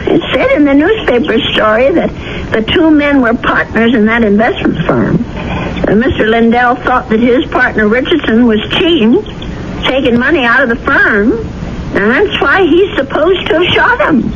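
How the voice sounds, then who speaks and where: A woman's voice: sits high, animated, normal speed. An actress playing a kindly, chatty older landlady who fusses and mothers her boarder, in a radio drama. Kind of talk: 1970s radio drama acting. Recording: dull old radio broadcast with loud hiss.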